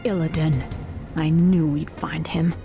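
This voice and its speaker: A devoted female elf warrior for a fantasy video game. The voice is low and earnest, with solemn dramatic delivery and prominent music behind. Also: fairly even intonation, a little slow, creaky. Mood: determined.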